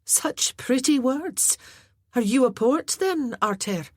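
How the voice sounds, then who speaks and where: A woman voicing a spirited, quick-tempered young heroine with a sharp tongue, in a storybook reading. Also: high-pitched, lively intonation, no room sound, studio quality, pitched above the performer's own voice, heavily acted. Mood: teasing. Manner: sharp, exclaiming.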